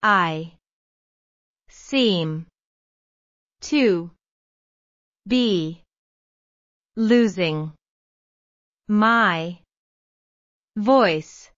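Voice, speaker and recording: Very slow, careful woman reading English drills. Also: medium pitch, fairly bright tone, dry recording. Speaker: English pronunciation model. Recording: dull, slightly lo-fi sound.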